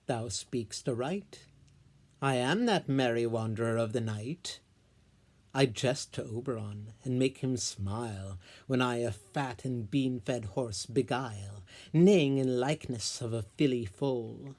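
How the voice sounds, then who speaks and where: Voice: medium pitch, measured pace, darkish tone, close to an everyday voice. A solo reader voicing a merry, boastful male sprite in theatrical Shakespearean verse. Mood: gleeful boasting. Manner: theatrical, storytelling delivery.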